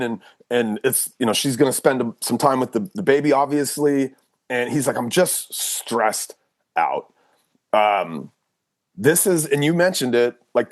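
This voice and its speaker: A man hosting a podcast, talking loosely and casually with many restarts. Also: medium pitch. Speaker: podcast host.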